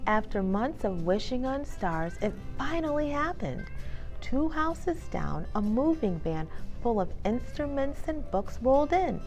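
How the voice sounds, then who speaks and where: A woman host reading a children's book aloud in an animated, expressive storytelling manner. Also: fairly high pitch, unhurried, soft background music. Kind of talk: expressive storybook read-aloud with casual introduction. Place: inside a bookstore.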